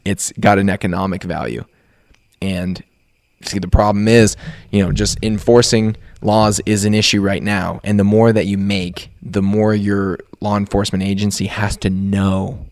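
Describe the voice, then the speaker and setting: Male podcast co-host, lower register, conversational and emphatic with rhetorical questions, no room echo. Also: level tone, normal speed, a little mellow, studio quality. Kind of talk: casual discussion.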